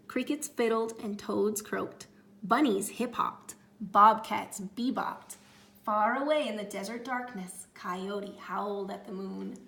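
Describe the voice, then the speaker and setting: A woman reading a children's storybook aloud with expressive, theatrical phrasing and drawn-out words. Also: medium pitch, creaky, a little hiss or hum. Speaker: storybook reader.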